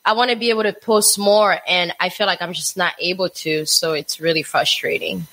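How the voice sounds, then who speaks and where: A woman's voice: fairly low pitch, fairly even intonation, brisk, a bit bright, rough recording. Frank woman from Africa, casual guest. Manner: frank, laughs between points. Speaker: guest, chef and social media influencer. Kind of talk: casual chat.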